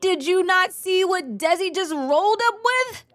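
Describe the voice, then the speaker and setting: A woman playing a fiercely competitive, driven high-school team captain in an audio drama. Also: animated, unhurried, fairly bright tone, no room sound, exaggerated voice. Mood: stressed, pushy. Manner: driven, insistent. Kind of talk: scripted audio drama acting.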